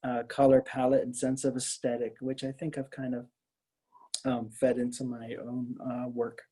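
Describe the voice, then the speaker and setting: Mellow-voiced American man, hesitant talker. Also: mid-range voice, completely flat delivery, moderate pace. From Miami area, Florida, US. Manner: hesitant, rambling, with many hedges and repeated words. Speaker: artist interviewed as guest. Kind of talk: casual conversational interview.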